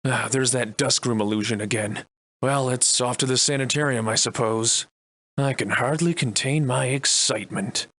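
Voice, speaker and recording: An actor playing a wry, defiant Victorian-era adventurer and monster slayer in a video game, soliloquizing in florid, old-fashioned diction. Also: male voice, mid-range voice, normal speed, a bit bright, dry recording, lightly acted. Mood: wry, musing. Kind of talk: theatrical video game voice lines in archaic English.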